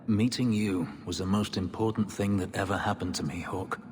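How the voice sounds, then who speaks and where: An actor playing a brooding, bitter elf warrior who was once a slave, speaking in a grave, measured way. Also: male voice, fairly even intonation, a little mellow, lightly acted. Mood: tender. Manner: low, grave, measured delivery. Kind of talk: video game voice acting. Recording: long reverb under game music.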